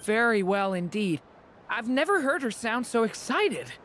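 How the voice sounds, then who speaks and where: A woman's voice: fairly high pitch, sing-song, a little slow, a bit bright, slight background noise. A woman voicing an earnest, friendly youngster in a fantasy video game.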